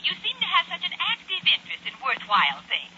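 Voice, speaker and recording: An actress playing an earnest, high-minded lady librarian, effusive, genteel and firm, in 1940s radio acting style. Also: huge pitch swings, fast talker. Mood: eager, polite. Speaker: supporting actress in a radio sitcom. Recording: muffled, noisy 1940s radio recording.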